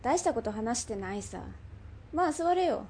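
A woman playing a brash, bossy underworld boss in a radio drama, with rough, masculine, commanding speech. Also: a little high, lively intonation, normal speed, mellow, slight background noise. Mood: businesslike.

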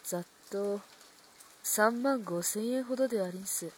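A husky-voiced young courtesan, played by a woman, in a period radio drama. She speaks in antique pleasure-quarter style, proud and sharp-tongued. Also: medium pitch, unhurried. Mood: coy, composed. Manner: old-fashioned courtesan speech.

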